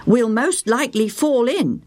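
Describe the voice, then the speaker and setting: A woman's voice: fairly high pitch, lively intonation, unhurried, a little mellow, voice pushed up. A woman voicing a timid young boy who stammers and frets. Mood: worried. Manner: hesitant and stammering. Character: timid, anxious young schoolboy.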